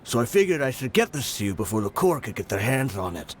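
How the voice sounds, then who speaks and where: A man's voice: mid-range voice, big pitch swings, fast, a little hiss or hum, close to an everyday voice. An actor playing a resigned, loyal, plainspoken male mercenary in a video game, speaking earnestly.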